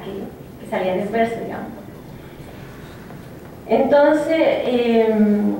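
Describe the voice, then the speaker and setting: A woman poet giving a reflective, halting personal talk, with many fillers and restarts. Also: a little high, darkish tone. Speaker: poet speaking of her native language. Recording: echoey room, from a distance, faint noise.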